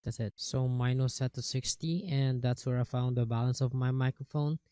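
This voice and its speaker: Flat-toned man explaining software, halting. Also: medium pitch, clean tone, no room sound. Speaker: tutorial presenter. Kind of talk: casual screen-recorded software tutorial. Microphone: cheap microphone with software processing.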